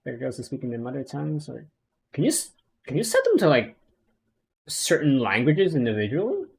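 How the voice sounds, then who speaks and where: A man's voice: medium pitch, huge pitch swings, fairly quick, creaky, breathy edge. A man streaming a game, chatting casually and rambling with lots of fillers. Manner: rambling, many fillers and restarts. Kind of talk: casual gaming commentary.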